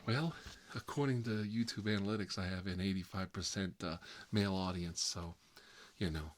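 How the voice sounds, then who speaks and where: A man playing a fussy, gossipy bachelor uncle in an improvised comedic ASMR roleplay, rambling and confiding in a prissy, halting way. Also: low-pitched, slight background noise, natural voice. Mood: chatty, wistful.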